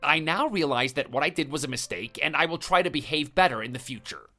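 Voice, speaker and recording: A man's voice: on the high side, expressive, fast talker, bright, forceful tone, soft background music. A man putting on a whimpering, cowardly neighbor. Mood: grovelling. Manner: stammering, pleading, apologetic. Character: cowardly, whining male neighbor who grovels.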